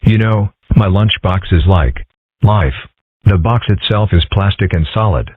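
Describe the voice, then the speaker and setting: A man voicing a calm, philosophical young fox boy in a comic strip dub, deadpan, measured and wry. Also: lowish voice, slightly rough, no room sound. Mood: calm, musing. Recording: muffled, phone-like narrow audio.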